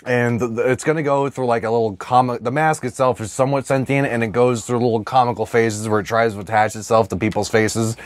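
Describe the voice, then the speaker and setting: A man co-hosting a comedy podcast, riffing in casual, profane, jokey banter with halting phrasing. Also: medium pitch, fairly even intonation, dry recording.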